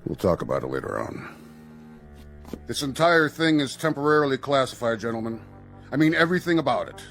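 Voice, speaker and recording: An actor playing a stern Air Force general in a radio drama, speaking in a deliberate, formal, official manner. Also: male voice, medium pitch, very animated, a bit bright, faint music behind. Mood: commanding.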